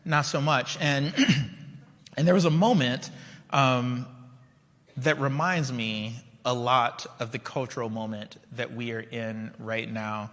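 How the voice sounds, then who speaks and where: Bright-voiced man speaking in a reverberant hall. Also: mid-range voice, fairly even intonation, slow pace. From Liberia. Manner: conversational, storytelling, checks in with the audience. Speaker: keynote speaker. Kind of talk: conference keynote speech. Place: large conference hall.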